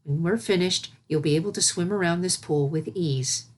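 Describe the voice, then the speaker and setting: A composed storybook princess read by a woman, an alicorn teacher with a lowish voice. She speaks gently and patiently, with quiet royal calm. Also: moderate pace, lightly acted. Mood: gentle, reassuring. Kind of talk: storybook character voice in fan fiction reading.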